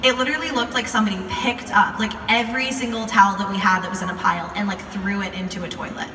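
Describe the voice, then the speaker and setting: Echoey, bright-voiced young American woman storytelling. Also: medium pitch, fairly even intonation, quick pace. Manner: chatty, informal, peppered with "like". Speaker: video creator telling a story to a live audience. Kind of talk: casual live storytelling. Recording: echoey hall, distant mic, some noise.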